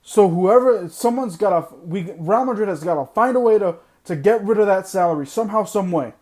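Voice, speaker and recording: A man with a high voice hosts a sports podcast and rants bluntly, hammering his points home by repeating them. His voice is going hoarse because he is losing it. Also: lively intonation, brisk, a little mellow. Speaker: podcast host. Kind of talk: opinionated sports podcast monologue.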